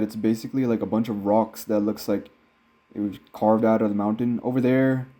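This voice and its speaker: An American man, his accent slightly tinged Filipino, giving relaxed, rambling vlog commentary from inside a car while driving mountain roads. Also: fairly low pitch. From United States. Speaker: vlogger. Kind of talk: casual driving vlog narration.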